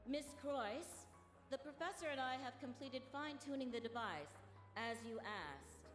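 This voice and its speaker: A composed, formal game character. Her voice sits fairly high with animated inflection and rings in an echoing hall. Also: measured pace. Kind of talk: video game cutscene voice acting. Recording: echoey hall reverb, faint music.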